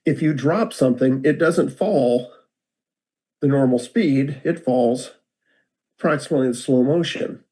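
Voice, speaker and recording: Older American man, mellow low-key tone, warm self-deprecating storyteller on a video call. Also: unhurried. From United States. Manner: relaxed, rambling, self-deprecating with many restarts. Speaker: guest speaker.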